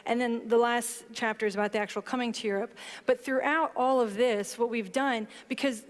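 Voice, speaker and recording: Woman in her thirties, measured panel speaker, headset mic, large hall, echoing reverb. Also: fairly high pitch, steady tone. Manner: explanatory, measured. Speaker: book author on a panel. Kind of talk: panel talk and reading aloud. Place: large hall stage before an audience.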